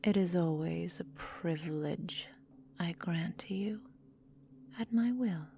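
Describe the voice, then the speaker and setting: Casting: woman to play seductive, cruel vampire mistress for ASMR roleplay. Soft, dark-toned breathy whisper, unhurried, possessive menace; faint noise behind. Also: fairly low pitch. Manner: slow, teasing, menacing, drawn-out phrasing.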